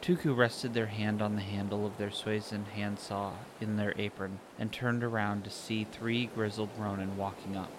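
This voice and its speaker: Low-pitched man narrating over clear noise. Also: little pitch movement, moderate pace, hardly any pauses. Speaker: narrator and author. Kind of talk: scripted fantasy audio story narration. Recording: clear background noise, ambient sound design. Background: ambient sound effects.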